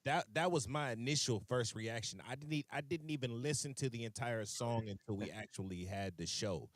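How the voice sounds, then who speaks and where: A man's voice: mid-range voice, normal speed, dry recording. A young man hosting a radio interview in a laid-back, slangy way, often repeating himself as he talks. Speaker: radio show host. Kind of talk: casual interview chat.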